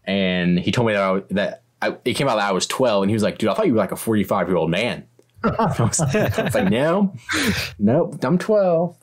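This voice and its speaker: A man's voice: fairly low pitch, very animated, normal speed. A young man hosting a casual podcast who steers the talk between topics. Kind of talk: casual chat.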